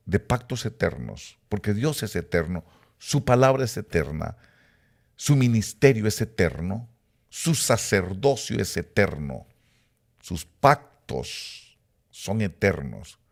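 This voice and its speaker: A middle-aged man from Rica, speaking, preaches in a very deep register with a gravelly rasp. He climbs and swells on the words he stresses, then sinks back into soft low asides. His pace shifts often as he teaches. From Costa Rica. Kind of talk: sermon teaching.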